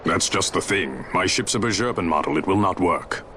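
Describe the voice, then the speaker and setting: An actor playing a gruff, blunt sky pirate fleet leader in a video game, with brusque authority and old-fashioned phrasing. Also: male voice, deep voice, expressive, moderate pace, a little hiss or hum. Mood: measured, frank. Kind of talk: dramatic video game cutscene acting. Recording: loud game score behind dialogue.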